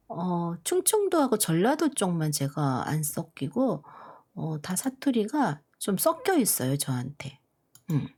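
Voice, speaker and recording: Gentle, lively-voiced middle-aged woman. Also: mid-range voice. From Korea, grew up partly in Busan. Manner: slow, gentle, many fillers and pauses. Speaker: live stream host. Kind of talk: casual live chat to viewers. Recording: soft background music.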